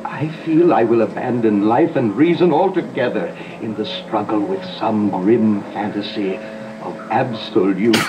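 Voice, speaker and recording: A man playing a sickly, melancholy old aristocrat in a gothic audio drama, halting and theatrical, recorded on an old cassette. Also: on the low side, natural voice. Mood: tormented, dreading. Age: older. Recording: dull old cassette dub with music. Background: music.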